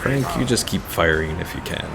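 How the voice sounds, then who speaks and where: A man giving relaxed, casual live game commentary as a streamer, thinking aloud as he plays. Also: sits low, moderate pace, creaky. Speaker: gaming streamer. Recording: game music behind the voice.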